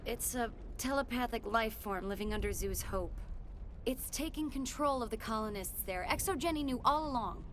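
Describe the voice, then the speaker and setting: An actress playing an earnest, guilt-ridden young colony scientist in a dramatic video game. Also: female voice, on the high side, normal speed, a little hiss or hum, lightly acted. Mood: indignant. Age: young adult.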